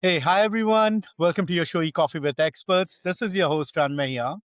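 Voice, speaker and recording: A man's voice: on the high side, a little fast, clear, resonant tone, no room sound, studio quality. A man hosting a podcast interview in a conversational way, introducing and questioning a guest. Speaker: podcast host.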